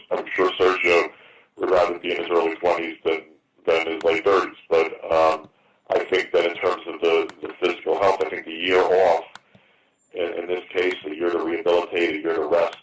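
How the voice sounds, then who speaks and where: Guy in his 50s, real low voice, kinda flat, halting, stumbles and repeats words on a call. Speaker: boxing promoter taking reporters' questions. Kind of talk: conference call interview answers. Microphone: phone on a conference call. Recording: roomy, distant, noisy teleconference phone line.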